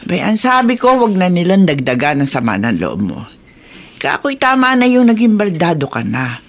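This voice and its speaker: A woman's voice: on the low side, big pitch swings, moderate pace. An older woman playing a caring, protective elderly Filipino grandmother in a radio drama. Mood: motherly, indignant.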